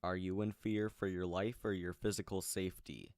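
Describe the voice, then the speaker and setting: Man reading as a low-voiced, reassuring female dispatcher. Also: little pitch movement, moderate pace, darkish tone, dry recording, studio quality. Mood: reassuring, probing. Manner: clear procedural questioning, reassuring. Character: female police emergency dispatcher, calm, professional, reassuring. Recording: music behind the voice.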